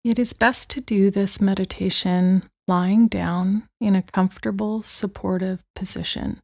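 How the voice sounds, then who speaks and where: Mellow, gravelly-edged woman guiding meditation. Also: mid-range voice, fairly even intonation. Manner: soothing, gentle, unhurried phrasing with long pauses. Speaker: meditation guide. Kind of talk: guided body-scan meditation.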